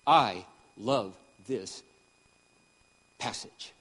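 A man around sixty preaching a sermon with impassioned emphasis, swinging between loud peaks and quiet asides. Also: mid-range voice, very slow, in a large hall. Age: 60s. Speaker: pastor.

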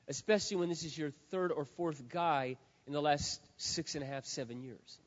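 Man, pastor, sermon, hoarse, high voice, quiet pleading to loud shouting, long hall echo. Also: normal speed. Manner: swings from quiet and earnest to loud impassioned shouting. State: hoarse, says he has lost his voice. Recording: dull sound with long hall reverb.

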